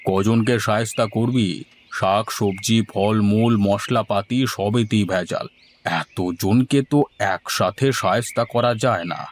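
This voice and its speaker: A man's voice: on the low side, unhurried, a little hiss or hum, natural voice. A man playing a food-loving, ponderous village headman in a cartoon. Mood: musing. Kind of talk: scripted cartoon dialogue.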